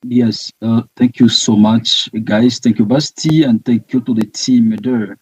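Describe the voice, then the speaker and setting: A Congolese climate activist joining remotely over a video call, speaking hesitantly and thankfully. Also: male voice, medium pitch, fairly even intonation, a little slow, a little mellow, slightly rough, no room sound. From Democratic Republic of the Congo. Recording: muffled remote call audio.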